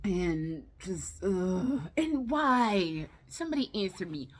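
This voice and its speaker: A woman's voice: lowish voice, sing-song, slow. A woman giving casual, chatty commentary in a blunt, exasperated way, with plenty of slang and swearing. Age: adult, no longer young. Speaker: vlogger reviewing films.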